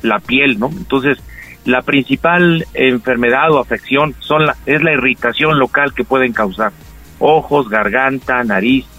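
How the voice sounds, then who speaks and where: A man's voice: mid-range voice, unhurried, dry recording, poor audio. Male doctor, radio guest, patient explainer, faint music under. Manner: calm explanatory, with fillers. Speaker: doctor giving health advice. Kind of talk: radio health segment guest.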